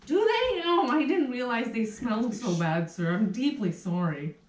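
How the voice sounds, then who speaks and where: A woman's voice: a little high, lively intonation, moderate pace, distant mic, lightly acted. A woman voicing a meek, flustered male barber for a puppet play, hesitant and apologetic, in a roomy rehearsal recording. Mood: deferential. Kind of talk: puppet theatre acting.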